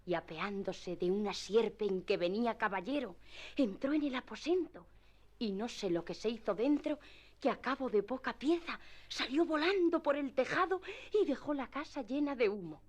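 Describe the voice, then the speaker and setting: An actress playing an anxious, gently scolding young niece in a radio drama, earnest and emphatic. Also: female voice, on the high side, expressive, moderate pace. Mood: earnest, alarmed. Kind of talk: classic radio drama acting.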